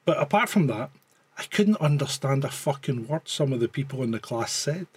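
A man's voice: medium pitch, animated, brisk, breathy edge. A Scottish man co-hosting a live stream in casual banter, rambling and sweary with dry humour. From Scotland.

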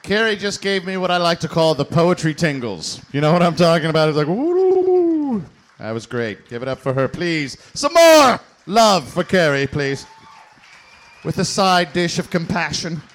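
A man hosting a live poetry slam, loudly and exuberantly hyping the crowd between poems. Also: on the high side, very animated, moderate pace. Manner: loud, exuberant, stretches words for the crowd. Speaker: guest host of a poetry slam.

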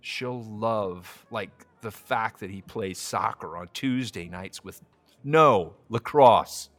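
An actor playing an anxious, self-deprecating single man in naturalistic audio drama, wry and halting. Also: fairly low pitch, fairly bright tone, faint music behind. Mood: exasperated, jealous.

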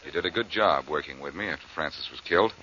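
An actor playing a confident, wisecracking amateur detective in a 1940s radio drama, breezy and teasing. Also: male voice, extremely low pitch, fast talker. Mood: assured, wry. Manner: breezy, teasing, self-assured. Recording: muffled old radio recording, faint noise.

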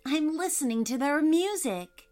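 A woman's voice: sits high, lively intonation, measured pace, darkish tone, pitched above the performer's own voice. A woman voicing a cheerful little girl in a children's story, sweet, earnest and dreamy. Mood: happy, earnest.

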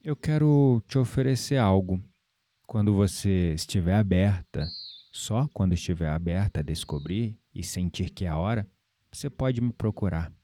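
An actor playing a gentle, earnest spiritual confidant with a Brazilian accent in an audio drama. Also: male voice, deep voice, lively intonation, normal speed. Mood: gentle, mysterious.

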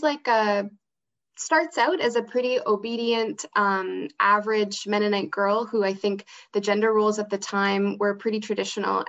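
Female interview guest, conversational, mid-pitched with noticeable vocal fry, a little dull-sounding recording. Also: a bit bright. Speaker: interview guest, theatre actor and outreach manager. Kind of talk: casual interview chat. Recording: slightly dull, lo-fi audio.

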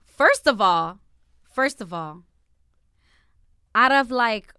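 A woman's voice: a little high, clean tone, no room sound, studio quality. A young woman from New Jersey, co-hosting a casual chat with her partner, protesting and interrupting with a lot of energy. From New Jersey, USA. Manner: animated, quick to interrupt and protest. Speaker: podcast co-host. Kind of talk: casual couple banter.

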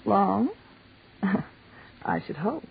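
An actress playing a brazen, scheming, fading American film star in a 1940s radio drama, slangy and mocking. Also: female voice, mid-range voice, big pitch swings, very slow, gravelly, slight background noise, exaggerated voice. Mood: breezy, flippant. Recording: muffled 1940s radio recording.

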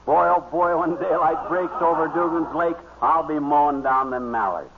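An American actor playing a blustery, bragging amateur hunter and husband in folksy, slangy 1940s radio comedy. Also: male voice, on the high side, normal speed, close to an everyday voice. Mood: boastful. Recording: tinny vintage radio recording, faint noise.

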